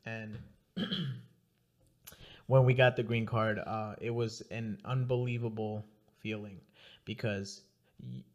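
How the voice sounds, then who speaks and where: A man's voice: fairly low pitch, flat delivery, slow pace, pauses often, highs cut off. A young adult man hosting a podcast in casual interview chat. He laughs often and talks loosely, with restarts and asides. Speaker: podcast host.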